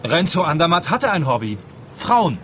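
A clueless young male private detective in a radio comedy. His voice sits a little high and he takes everything literally and naively, with background ambience around him. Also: very animated, normal speed, fairly bright tone, slight background noise. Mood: self-assured. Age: young adult. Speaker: actor in a radio play.

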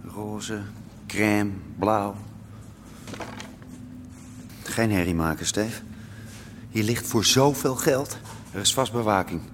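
An actor playing a wary squatter in a Dutch radio drama, low-key and cautioning. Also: male voice, slow, pauses often, background noise. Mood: wary, hushed. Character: wary squatter guiding a friend through a building. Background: music.

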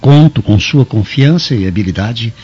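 An actor playing a jealous, suspicious Roman master in a Brazilian radio soap, with a wary, commanding manner. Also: male voice, mid-range voice, lively intonation, moderate pace, mellow, husky. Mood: commanding, bitter. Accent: Brazilian Portuguese. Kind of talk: radio soap opera acting. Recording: dull lo-fi radio drama, music behind.

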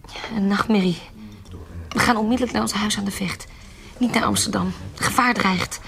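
An actress playing an anxious, scheming former baroness with second sight in a historical radio drama, grave and urgent. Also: female voice, mid-range voice, steady tone, moderate pace, vocal fry, fairly bright tone, a little hiss or hum.

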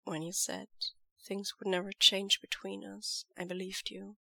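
Woman doing a reserved young man, hushed and tender, slow gravelly delivery, bright edge, dry close sound, storybook reading. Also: flat delivery, no echo, exaggerated voice. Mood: quiet tender. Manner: low, hushed and measured.

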